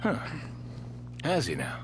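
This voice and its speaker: An actor playing a weary, dutiful sergeant major in a video game, frank and matter-of-fact. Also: male voice, mid-range voice, wildly swooping pitch, slow pace, loud music behind the voice. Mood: frustrated.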